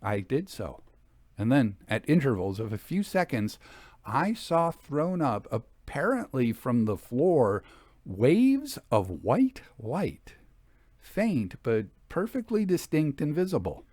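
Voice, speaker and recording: A man reading a Victorian ghost story as scripted narration, in a measured storytelling delivery. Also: mid-range voice, sing-song, a little mellow, no echo. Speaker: audiobook reader.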